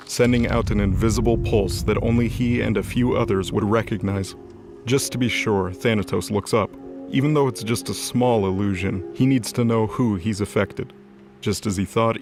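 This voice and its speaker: A man reading scripted, dramatic story narration as the narrator of an audio drama. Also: fairly low pitch. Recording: music under the voice. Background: music.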